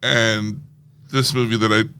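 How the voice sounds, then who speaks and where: A man's voice: on the low side, level tone, measured pace, bright, forceful tone, a little hiss or hum. A man co-hosting a movie podcast in casual, playful chat, laughing often. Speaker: podcast co-host.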